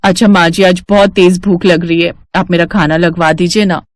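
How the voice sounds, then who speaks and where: A woman's voice: on the low side, little pitch movement, normal speed, dry recording, clean studio sound, natural voice. A woman voicing a smug, boastful young working daughter-in-law in a story, sugary to elders and condescending. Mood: sweetly boastful.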